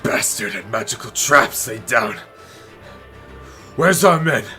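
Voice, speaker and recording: Stern, forceful commanding officer played by a man. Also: mid-range voice, animated, slow, faint music behind. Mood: angry, pained. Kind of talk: fantasy audio drama.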